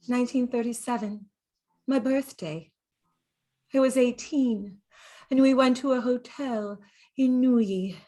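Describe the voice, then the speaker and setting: Gentle, wistful, slow-speaking young muse. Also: female voice, fairly high pitch. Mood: nostalgic, confiding. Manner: confiding, chattering, tender, nostalgic. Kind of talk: dramatic monologue, stage-style acting.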